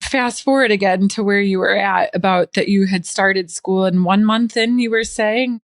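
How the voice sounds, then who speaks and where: A woman's voice: medium pitch, moderate pace, no room sound. A woman in her forties from the United States, hosting a podcast interview in an upbeat, friendly way. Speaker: podcast host.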